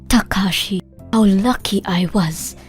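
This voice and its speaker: Mid-pitched woman narrating a life story. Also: takes it slowly. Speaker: narrator and voice actor in a manga dub. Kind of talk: scripted first-person story narration. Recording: music bed under the voice. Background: background music.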